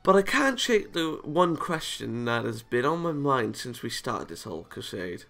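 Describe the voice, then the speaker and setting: A man's voice: medium pitch, sing-song, normal speed, vocal fry, a bit bright, faint music behind. A man reading a visual novel aloud as a let's player, stumbling over lines and reacting playfully with casual asides. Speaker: video game let's player.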